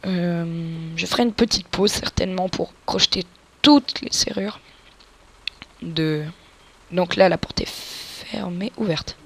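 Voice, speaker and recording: A woman's voice: mid-range voice, a bit bright, husky. A woman with a cold giving casual, crude, joking gameplay commentary and explaining tactics to viewers as a let's play gamer. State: has a cold.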